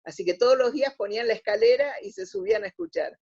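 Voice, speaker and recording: A woman with an Argentine accent, speaking as an interview guest, telling anecdotes in a halting, reflective way. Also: high-pitched, very animated, gravelly, a little mellow, breathy edge. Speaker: interview guest, radio theatre historian. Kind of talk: conversational interview, anecdotal storytelling. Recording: dull lo-fi audio.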